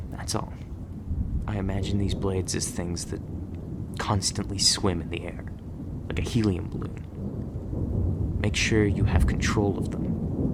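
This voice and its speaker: A man playing a gruff, guarded agent who trains a rookie in a terse, stern manner in an ASMR roleplay. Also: deep voice, heavy background noise. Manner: terse, stern instructing, stammers when flustered.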